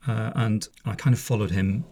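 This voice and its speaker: Wry, gravelly middle-aged man chatting. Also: lowish voice, fairly even intonation, moderate pace, mellow, slight background noise. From Northern Ireland. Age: 50s. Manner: chatty, wry, wanders off on asides. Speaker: podcast host, former police officer. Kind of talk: casual spoken monologue. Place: home room.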